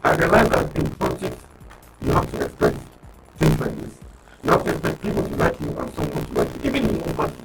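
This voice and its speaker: A man with a Nigerian accent, arguing politics on a radio talk panel in an animated, emphatic way, often restarting his words. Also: extremely low pitch, fast, creaky, recorded from a distance, music in the background, rough recording. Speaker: political commentator guest. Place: radio studio.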